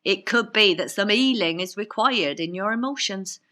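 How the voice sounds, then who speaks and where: A woman's voice: mid-range voice, expressive, normal speed, bright, forceful tone. A woman voicing a meddling imaginary personality who is earnest, preachy and fussy, in a storybook reading. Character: meddling, chatty imaginary inner personality, preachy spiritual adviser.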